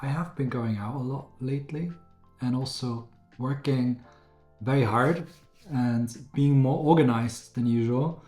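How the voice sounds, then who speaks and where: A man with a raspy, slightly hoarse voice, chatting casually to camera as a beauty vlogger, rambling and correcting himself as he goes. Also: mid-range voice, fairly even intonation, unhurried, darkish tone. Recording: roomy echo, faint music.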